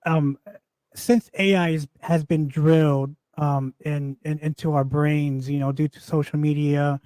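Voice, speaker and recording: A man taking part in a live class, asking an unscripted question in a polite, halting way. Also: a little high, fairly even intonation, dark tone, no echo. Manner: polite, halting, repeats words as he goes.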